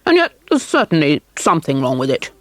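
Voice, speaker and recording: A woman voicing a puzzled doctor, a grown man, brisk and musing over a mystery. Her own voice carries him with little change, close and dry. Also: sits low, wildly swooping pitch, a bit bright, no echo, exaggerated voice.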